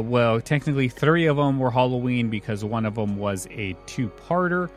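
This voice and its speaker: A man hosting a casual podcast, his voice worn hoarse, chatting and laying out facts with a chuckle. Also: medium pitch. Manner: relaxed, informative, chuckles often. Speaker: podcast host.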